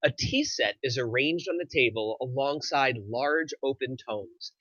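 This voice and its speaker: A man's voice: mid-range voice, very animated, unhurried, fairly bright tone, no echo. A man reading scripted stage directions as the narrator of a screenplay table read. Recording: slightly dull, dry recording.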